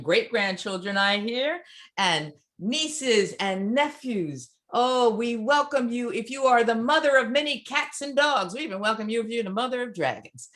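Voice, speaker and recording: Woman, high voice, slow and deliberate, delivering a congregational welcome. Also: a bit bright. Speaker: church service welcomer and singer. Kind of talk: warm spoken congregational welcome.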